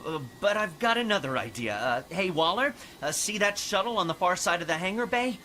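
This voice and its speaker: A man's voice: high voice, animated, a little fast, heavy background noise. A man playing a young, daring rebel pilot with a sardonic, bantering manner in an audio drama. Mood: wry. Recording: loud starship ambience behind voice.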